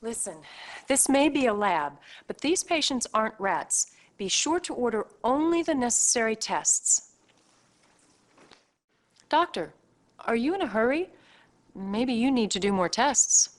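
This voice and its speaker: An actress playing a brisk hospital staffer in a video game cutscene, instructing and teasing the player in a pointed way. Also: female voice, on the high side, sing-song. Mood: brisk, scolding. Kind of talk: acted game cutscene dialogue addressed to the player.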